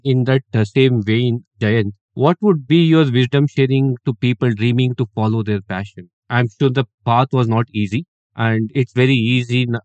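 A man's voice: mid-range voice, darkish tone, dry recording. Male host, hesitant wordy questions, dry close lo-fi sound. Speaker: podcast host. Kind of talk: interview questions. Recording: dry, dull lo-fi audio.

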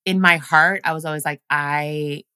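A woman's voice: sits low, steady tone, moderate pace, bright, forceful tone, smooth, no echo, studio quality. A woman whose first language is Luganda, raised in Washington State, telling stories casually as a podcast guest with lots of fillers. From grew up in Washington State. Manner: chatty storytelling, many fillers. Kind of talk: casual conversation.

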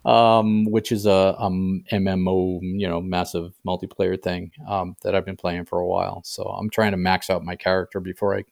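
A man's voice: deep voice, level tone, moderate pace, gravelly, hardly any pauses, darkish tone. A middle-aged man being interviewed as an author, talking casually and often checking in with the listener. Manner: conversational, often tags sentences with "right?".

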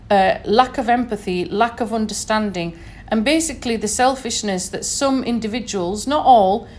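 A woman with a Sheffield accent speaks in a fairly high voice, talking earnestly to her listeners like an educator. She keeps checking in with a quick "okay?" as she works through her points. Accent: Sheffield English. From Sheffield, northern England. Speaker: educator giving a talk. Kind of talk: informal recorded talk to listeners.

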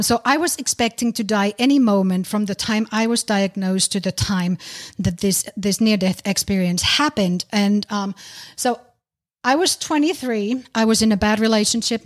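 A middle-aged woman from Finland, hosting a podcast and telling a personal story. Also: mid-range voice. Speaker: podcast host.

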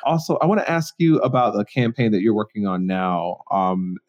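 Hesitant male interviewer with a lowish voice. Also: normal speed, darkish tone, dull audio. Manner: hesitant, rephrases his questions. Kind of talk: casual interview.

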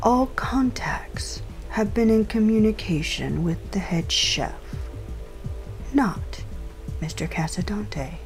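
Woman acting a slow, menacing lady crime boss. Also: mid-range voice, low-quality recording. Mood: probing, controlled. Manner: slow, deliberate, mockingly genteel. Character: menacing female crime family boss, coolly polite. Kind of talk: audio drama acting. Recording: music and rain under the voice.

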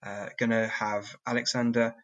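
Bright, forceful-voiced man chatting casually. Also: mid-range voice, flat delivery, moderate pace, lo-fi sound. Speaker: sports betting podcast co-host. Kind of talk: casual football preview chat.

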